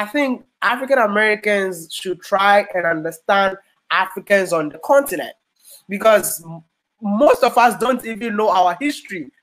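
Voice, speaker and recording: A man's voice: high voice, a bit bright, rough recording. A young man from Ghana hosting a discussion, speaking earnestly and eager to add his point. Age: young adult. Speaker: host. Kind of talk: casual discussion.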